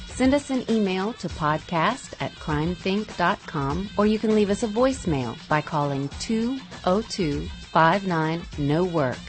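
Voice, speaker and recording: Low-voiced woman reading news over music. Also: expressive, unhurried, few pauses. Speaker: podcast co-host.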